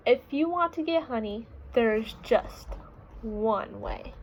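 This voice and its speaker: High-voiced woman reading slowly to children. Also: highs cut off. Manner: expressive, teacherly, pausing to ask listeners questions. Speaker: read-aloud reader. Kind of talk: reading a picture book aloud with questions to young children. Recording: clear background noise.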